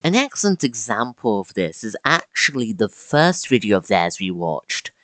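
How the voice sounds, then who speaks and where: Video-essay narrator, mid-pitched, lively swings in pitch, dramatic emphasis, casual asides, close dry recording. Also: normal speed, dry recording.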